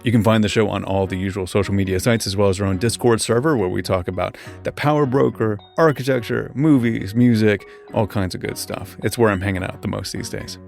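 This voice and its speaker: Gravelly low-voiced male podcast host. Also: brisk, hardly any pauses, faint music behind. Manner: relaxed, laughs often. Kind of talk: casual book club chat and show credits.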